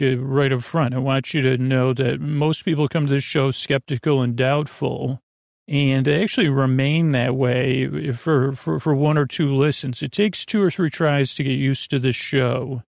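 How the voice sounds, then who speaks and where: A man hosting a bedtime podcast in a creaky, lulling voice, meandering and repeating himself with halting pauses. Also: mid-range voice, darkish tone, no room sound, studio quality. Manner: soothing, lulling. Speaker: podcast host. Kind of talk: rambling bedtime podcast monologue.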